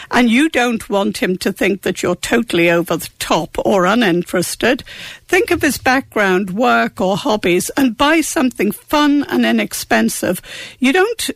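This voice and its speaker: A woman with a croaky voice, giving forthright, wryly humorous advice on a radio slot. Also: fairly high pitch, no room sound. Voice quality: croaky voice after illness. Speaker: agony aunt.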